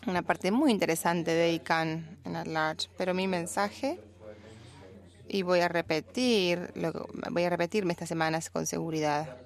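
A woman with a Rioplatense Spanish accent doing simultaneous interpretation, relaying speech in a steady, even way. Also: fairly low pitch, unhurried.

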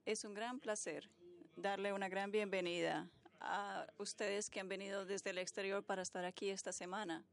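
A woman's voice: medium pitch, steady tone, normal speed, vocal fry. A woman working as a simultaneous interpreter, delivering a formal speech live, phrase by phrase.

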